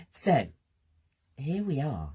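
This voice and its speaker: A woman with a gentle, husky, slightly strained voice, musing aloud slowly with pauses as she hosts a reflective creative session while drawing. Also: deep voice. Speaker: host of a creative healing art session.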